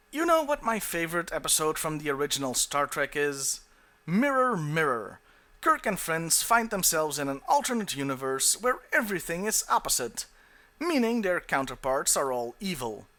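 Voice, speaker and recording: Man with swooping, lively countdown delivery. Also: high-pitched. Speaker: video host and reviewer. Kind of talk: scripted countdown review narration.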